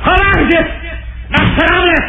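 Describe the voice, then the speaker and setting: A man's voice: very high voice, slow, creaky, muffled recording, background noise, exaggerated voice. An actor in an old radio serial playing a proud, furious imprisoned envoy, declaiming his indignant demands. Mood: enraged. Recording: old radio recording, echoey, loud music.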